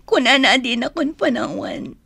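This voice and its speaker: A heartbroken young woman in a radio drama. Her voice sits very high and creaks as she slowly, plaintively pours out her hurt, recorded close and dry. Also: lively intonation, sharp tone, dry recording, full character voice. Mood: tearful hurt. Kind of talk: Ilocano radio drama acting.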